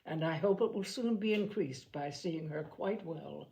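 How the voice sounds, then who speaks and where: A woman voicing an amiable, easygoing, self-deprecating young gentleman in an audiobook, with a cheerful, earnest manner. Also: low voice, a little slow, gravelly, rough recording. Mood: kindly. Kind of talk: audiobook character acting.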